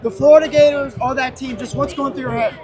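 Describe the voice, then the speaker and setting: A young man interviews in a TV sports reporting style. His voice sits high for a man and he talks fast. A loud crowd is heard behind him. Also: steady tone.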